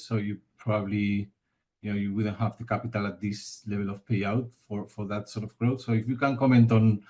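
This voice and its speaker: A male financial analyst calling into an earnings call, asking questions off the cuff with some halting repeats. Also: lowish voice, mellow.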